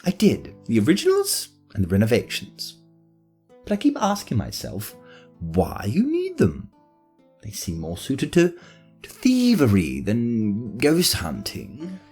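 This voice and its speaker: A man's voice: mid-range voice, wildly swooping pitch, measured pace, a little mellow, husky, faint music behind, exaggerated voice. An actor playing a spoiled, arrogant nobleman who talks in a haughty, self-pitying way. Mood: suspicious. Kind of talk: fan audio drama acting.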